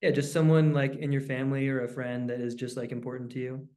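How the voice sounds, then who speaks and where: A man hosts a relaxed interview in a mid-range voice. His tone is mellow and warm, with short murmured acknowledgments between loose, easygoing questions. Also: medium pitch, quick pace. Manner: brief backchannels, relaxed questions. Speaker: interview host. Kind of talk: casual interview.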